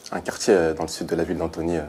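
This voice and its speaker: A man in his thirties from France, a photographer speaking in a colloquial, emphatic and animated way. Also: low voice, racing. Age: 30s. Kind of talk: documentary interview testimony.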